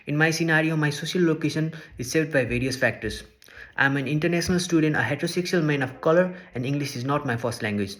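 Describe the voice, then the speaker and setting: A man's voice: fairly high pitch, fairly bright tone. Earnest young man, English speaker. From Nepal. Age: young adult. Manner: measured and earnest, listing points one by one. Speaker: social work student. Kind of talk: reading a prepared class presentation.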